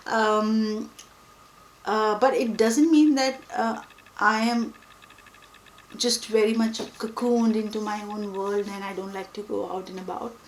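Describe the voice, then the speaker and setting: A young woman whose first language is Hindi, talking reflectively and pausing often with fillers. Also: mid-range voice, fairly even intonation, slight background noise. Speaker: book vlogger. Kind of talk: reflective personal talk to camera. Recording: echoey room with faint ambience.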